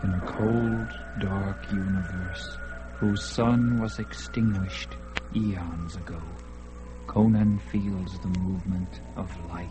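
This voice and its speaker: A man narrating a classic radio drama in a theatrical manner, swelling loud and sinking hushed. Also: deep voice, fairly even intonation, slow, over music. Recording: noisy old radio recording.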